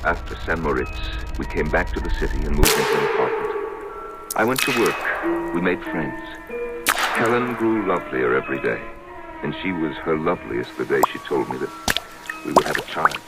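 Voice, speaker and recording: An actor playing a short, insecure, adoring husband in a radio drama, telling his story in a halting, self-deprecating way. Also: rumbling low, lively intonation, rough recording. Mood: wistful recollection. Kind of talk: radio drama acting, confessional first-person narration. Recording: old radio drama with music behind.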